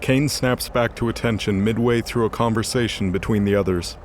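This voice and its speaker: A man's voice: on the low side, a little fast, slight background noise. A man narrating a scripted fantasy audio drama.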